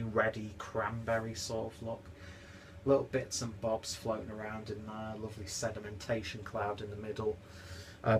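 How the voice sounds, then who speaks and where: A young man talks to his webcam from a home kitchen, his lowish voice stuffy and congested. He rambles on chattily with plenty of fillers. A faint hiss sits under the recording. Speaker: beer reviewer. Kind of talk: casual vlog review.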